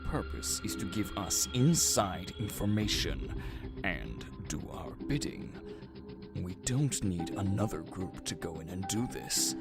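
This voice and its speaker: A man's voice: sits low, animated, a little slow, gravelly, full character voice. A man voicing a cold, condescending villain leader in a fan audio drama, speaking in a sneering, mocking manner. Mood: contemptuous. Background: music score.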